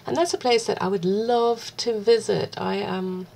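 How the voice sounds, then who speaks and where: A woman speaks in a husky voice, roughened by a cold and touched with gravelly fry. She talks at a middling pitch in a chatty, self-deprecating way, drifting into little asides as she shows her paints. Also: fairly even intonation, normal speed, a little mellow. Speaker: art vlogger. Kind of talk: casual craft tutorial chat.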